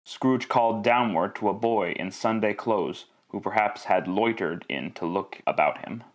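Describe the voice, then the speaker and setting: A man's voice: lowish voice, moderate pace, close to an everyday voice. A man narrating a Christmas tale in classic radio style, wry and theatrical, savouring each list and repetition.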